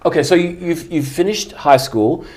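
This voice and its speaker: A man hosting a casual interview, speaking in a halting, informal and effusive way. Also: a little high, a little mellow. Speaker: podcast host, interviewer.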